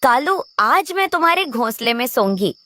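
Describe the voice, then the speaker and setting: A woman's voice: high voice, very animated, normal speed, pushed and bright, no echo, studio quality, exaggerated voice. A woman voicing a brash, spiteful female crow in a children's cartoon, whining and scornful. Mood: whining, pushy. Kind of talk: Hindi children's cartoon voice acting.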